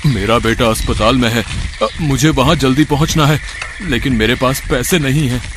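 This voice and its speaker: A man's voice: medium pitch, moderate pace, noisy background, close to an everyday voice. A man playing a shopkeeper and father with plain, earnest delivery in an amateur moral story. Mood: pleading. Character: greedy shopkeeper turned anxious, pleading father.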